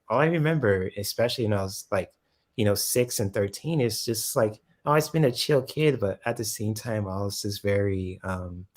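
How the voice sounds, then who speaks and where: A man in his thirties hosting a casual live chat, rambling with lots of fillers. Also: lowish voice. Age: 30s. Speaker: host.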